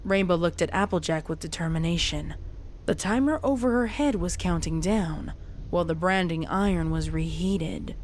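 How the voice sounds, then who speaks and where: Low-pitched woman narrating a story. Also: moderate pace, slight background noise. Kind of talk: scripted dramatic story narration. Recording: music behind the narration. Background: music.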